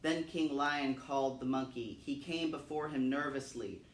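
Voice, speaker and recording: A man with a medium-pitched voice reads a folk tale for children. His delivery is expressive and dramatic. He sounds set back from the microphone in a roomy, echoing space. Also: normal speed, recorded from a distance. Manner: dramatic, repeats phrases for emphasis. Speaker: storytime reader. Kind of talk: expressive read-aloud children's storytelling.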